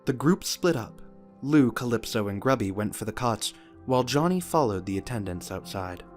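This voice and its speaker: A man's voice: mid-range voice, animated, normal speed. A man reading scripted narration for an audio drama. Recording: music under the voice. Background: music.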